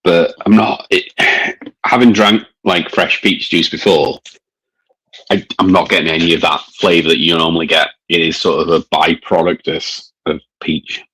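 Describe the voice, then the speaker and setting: A man with a mild northern English accent talks over a beer in a loose, rambling way, pausing often on fillers. His voice sits low and husky, yet bright and forceful in tone. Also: steady tone, rough recording. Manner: chatty, rambling, hesitant with many fillers. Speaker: beer reviewer. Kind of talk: casual chat.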